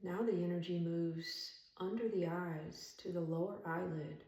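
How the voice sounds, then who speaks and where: A woman leading a guided meditation as an instructor, speaking calmly and soothingly in measured phrases with long pauses. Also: fairly low pitch. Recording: roomy echo, distant from mic.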